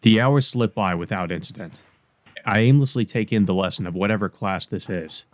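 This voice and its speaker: A man with a fairly low voice reads a visual novel aloud as a let's play host. His delivery mixes narration with casual comment, recorded dry and close. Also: fairly quick, no room sound.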